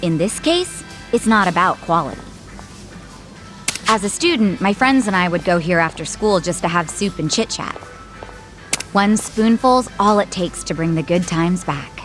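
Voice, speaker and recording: An actress voicing a spirited, candid, sassy young woman in an English-dubbed video game, with frank, expressive, casual banter. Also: on the low side, moderate pace, music in the background, natural voice. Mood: warm, earnest.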